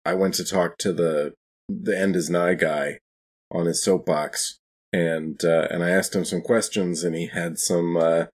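Low-pitched, husky, hesitant man at game. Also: steady tone, unhurried. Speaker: tabletop role-playing player. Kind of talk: casual in-character tabletop game talk.

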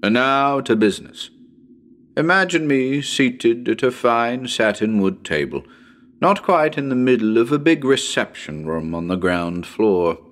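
A man reading as a genial, well-spoken radio presenter of a ghost hunt, with a measured, wry and formal manner. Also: medium pitch, fairly bright tone. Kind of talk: dramatic reading as live radio broadcast.

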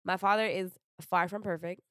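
Candid young woman podcaster, low voice. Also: fairly even intonation, normal speed, smooth, no room sound, studio quality. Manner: candid, emphatic, repeats phrases for stress. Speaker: podcast host. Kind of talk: casual podcast chat.

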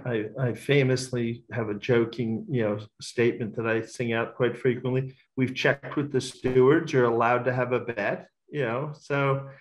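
A man's voice: medium pitch, steady tone, moderate pace, few pauses, low-quality recording. A middle-aged man, talkative and self-assured, giving casual interview answers as a racecourse bookmaker. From Australia.

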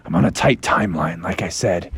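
A man voicing a gruff, impatient grown male superhero in a bedtime story, low and clipped. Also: animated, brisk, fairly bright tone, full character voice. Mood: gruff, hurried.

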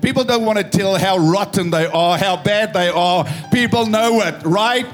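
A man's voice: sits high, normal speed, soft background music. A South African man in his fifties, a pastor preaching an emphatic, story-driven sermon with a tired voice. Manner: emphatic, storytelling, swelling to loud proclamation. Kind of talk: impassioned sermon mixing English and Afrikaans. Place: church.

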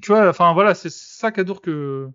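A man hosting a podcast, arguing in a casual debate and often pausing with fillers and restarts. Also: sits high, fairly bright tone, clear, resonant tone, dry recording, muffled recording. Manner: hesitant, many fillers and restarts. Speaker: podcast host.

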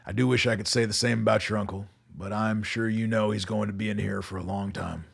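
An actor playing a weary middle-aged colonel in a radio drama, measured and commanding. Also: male voice, lowish voice, fairly even intonation, exaggerated voice. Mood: firm, reassuring. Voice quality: gravelly, husky. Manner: measured, authoritative.

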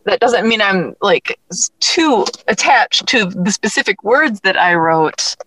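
A woman's voice: medium pitch, animated, unhurried, bright, forceful tone, breathy edge, no room sound, poor audio. A woman interviewed as a writer, chatting informally and tentatively as she thinks aloud. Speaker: audio drama writer, interview guest. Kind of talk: casual interview chat.

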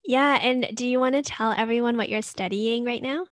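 A woman's voice: fairly high pitch, moderate pace, no echo. A woman hosting a podcast, talking in an upbeat, enthusiastic way into a close mic as she interviews a guest. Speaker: podcast host.

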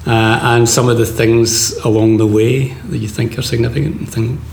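A man, hosting a casual interview with relaxed, rambling questions. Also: medium pitch, normal speed, vocal fry. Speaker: host, interviewer. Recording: echoey room, faint background noise.

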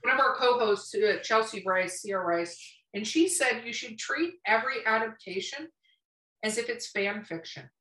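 A woman podcast host with a high, bright voice, heard a little way from the mic in a roomy echo. She chats casually and bluntly, leaning hard on her opinions and swearing freely. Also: normal speed, far from the mic.

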